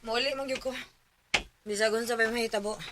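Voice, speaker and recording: A woman's voice: a little high, steady tone, brisk, noisy background. A woman acting in a radio drama, carefree and defiant in manner.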